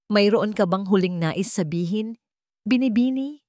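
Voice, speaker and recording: A woman's voice: medium pitch, unhurried, darkish tone, dry recording, pitched above the performer's own voice, close to an everyday voice. A woman narrator plays a scheming corporate villain in an audio story, a man full of pompous, false solemnity. He addresses the room formally and turns defiant when challenged. The sound is close and dry. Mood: pompous, smug. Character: scheming male corporate rival, pompous, then desperate.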